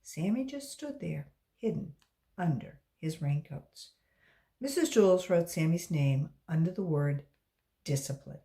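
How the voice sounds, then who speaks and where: A woman reading a story aloud to young children, expressive and emphatic, as a volunteer reader. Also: deep voice, measured pace.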